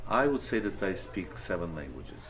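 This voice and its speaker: A teacher whose first language is Ukrainian, speaking English with a Ukrainian accent, answering interview questions carefully with frequent pauses. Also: male voice, low voice, very animated, fairly bright tone. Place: school classroom.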